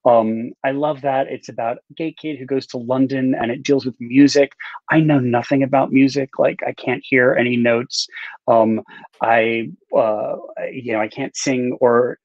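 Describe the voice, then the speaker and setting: A man's voice: mid-range voice, fairly even intonation, unhurried, darkish tone, dry recording. A middle-aged man chatting casually and self-deprecatingly as he answers interview questions in a rambling way over a video call. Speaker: author and writing teacher. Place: small book-lined room indoors, on video call. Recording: dull lo-fi video-call audio.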